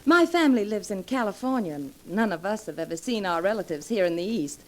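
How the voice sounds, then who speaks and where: A woman's voice: medium pitch, lively intonation, fairly quick, natural voice, rough recording. A young woman playing an eager, determined college girl of twenty, polite and earnest, in 1940s radio drama style. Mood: bright, curious. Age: young adult.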